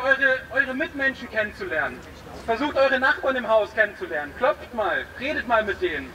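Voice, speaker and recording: A man giving an informal speech through a megaphone at a street protest, with many hesitations. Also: extremely high pitch. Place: street blockade outdoors. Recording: distant outdoor recording with street noise.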